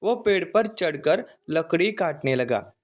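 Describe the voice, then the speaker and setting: A man's voice: a little high, lively intonation, unhurried. A man narrating a scripted children's folktale as the storyteller.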